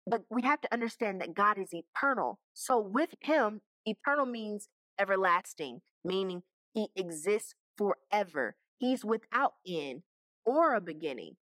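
A woman's voice: mid-range voice, lively intonation, measured pace, a bit bright, dry recording. A woman giving a casual Bible teaching, talking conversationally and checking in with her listeners. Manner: conversational, keeps checking in with "okay?" and "right?".

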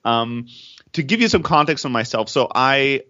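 A man's voice: medium pitch, moderate pace. A man in his thirties from Greece, raised in Germany, a startup founder telling his story in an interview with energy and many restarts. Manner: energetic, frequent restarts and repeated words. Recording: slightly dull lo-fi audio.

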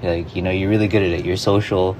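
A man's voice: low-pitched, little pitch movement, fast, darkish tone, a little hiss or hum. A man, a guest in an interview, reflecting in a loose way full of "like" and "you know". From Hawaii.

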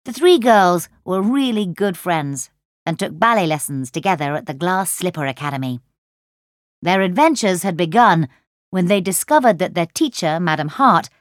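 A woman's voice: fairly low pitch, no echo. A woman reading a children's story expressively as a scripted audiobook narration, close on the microphone. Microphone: close studio microphone.

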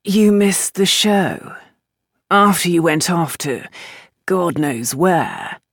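A woman voicing a dry, teasing, idle aristocratic man in a storybook, unhurried and faintly amused. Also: fairly low pitch, animated, dry recording, exaggerated voice.

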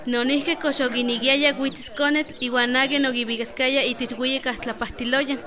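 A woman's voice: high voice, steady tone, normal speed, natural voice. An actress in a radio drama playing Esperanza, an earnest pregnant village woman. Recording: busy crowd noise behind.

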